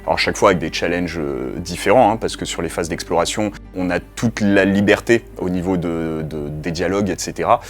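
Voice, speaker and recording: A man's voice: low-pitched, fairly quick, hardly any pauses, soft background music. A man speaking as a game developer in an explanatory interview, conversational, with broken phrasing.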